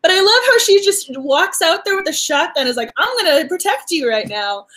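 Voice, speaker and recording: A woman from Massachusetts talks through a cozy mystery with a friend, her voice sitting high and lively. She stumbles happily through half-finished thoughts, laughing and playing out what she felt while reading. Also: fairly quick. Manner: animated, laughs often, acts out reactions. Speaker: book club host. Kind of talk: casual book discussion.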